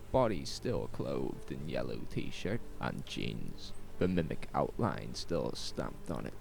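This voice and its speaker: A man narrating a horror story in a measured, dramatic, suspenseful way, in short phrases. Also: low-pitched, vocal fry, darkish tone.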